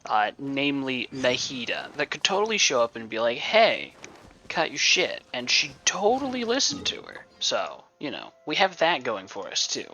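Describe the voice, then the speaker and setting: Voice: mid-range voice, wildly swooping pitch, normal speed, bright, forceful tone, a little hiss or hum. A game streamer giving casual, wry commentary full of joking asides on a dull lo-fi mic. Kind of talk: casual live gameplay commentary. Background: video game music.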